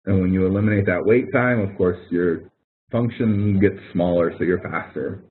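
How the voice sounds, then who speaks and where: Low-voiced man teaching over a tinny webinar line. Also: normal speed, a little hiss or hum. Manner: casual, explanatory, thinks aloud while demonstrating. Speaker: instructor. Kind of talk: webinar walkthrough. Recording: phone-like narrow audio from a webinar.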